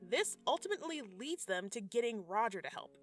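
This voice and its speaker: A woman's voice: a little high, big pitch swings, brisk. A woman narrating a scripted video commentary in a sassy, conversational way, with slangy asides. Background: background music.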